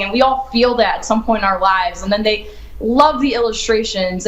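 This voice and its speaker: A young woman who grew up in Ohio, an author answering interview questions in an earnest, upbeat way, thinking aloud as she goes. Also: mid-range voice. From United States, grew up in Ohio. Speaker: children's book author, guest. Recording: echoey room.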